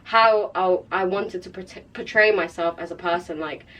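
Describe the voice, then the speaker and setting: A woman's voice: on the low side, normal speed, a bit bright, muffled recording. A young woman from the Philippines, chatting casually as a podcast guest, full of slang and fillers. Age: young adult. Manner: slang-heavy, filler-laden, laughs often. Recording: distant mic, faint background noise.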